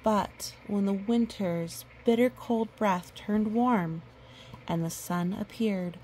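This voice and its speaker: Slow-paced woman reading to a child. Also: medium pitch, a little hiss or hum, poor audio. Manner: animated, explaining, repeating words for emphasis. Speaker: children's picture book reader. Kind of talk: expressive read-aloud with explanatory asides to a young child.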